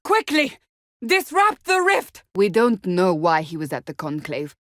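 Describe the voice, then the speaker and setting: A woman's voice: medium pitch, wildly swooping pitch, measured pace, dry recording. A woman playing a stern, devout, proud warrior in a fantasy video game, speaking in a formal, clipped and earnest manner. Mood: battle shouting.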